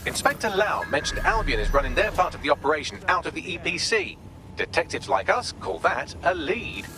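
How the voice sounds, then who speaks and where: An actor voicing a witty, sardonic AI assistant in a video game, with dry, articulate delivery. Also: male voice, a little high, very animated, brisk, music in the background. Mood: analytical, sardonic. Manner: dry, wry, sarcastic, articulate.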